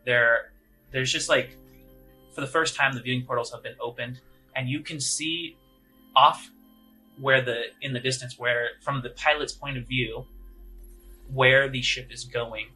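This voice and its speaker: A man's voice: mid-range voice, steady tone, a little slow, long pauses, pushed and bright, soft background music, poor audio. A man narrating a tabletop role-playing game as game master in a conversational, descriptive, improvised way.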